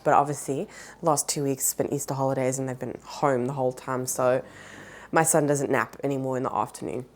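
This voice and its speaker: Vlogger mom, 30s, deep for a woman, nasal from a cold, chatty, fillers throughout. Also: darkish tone. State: recovering from a cold. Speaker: plant vlogger, mother. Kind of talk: casual chat.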